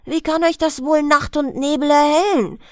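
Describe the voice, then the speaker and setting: A woman reading a fairy tale aloud as a bedtime audiobook, in a gentle storytelling manner. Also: high-pitched, dry recording, studio quality. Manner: slow, gentle storytelling with lively, sing-song melody. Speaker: audiobook reader.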